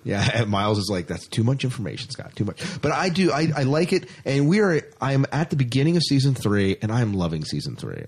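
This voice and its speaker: A man's voice: medium pitch, big pitch swings, brisk, hardly any pauses, breathy edge. A man hosting a podcast in casual, enthusiastic chat, stressing points and often repeating himself. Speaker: podcast host.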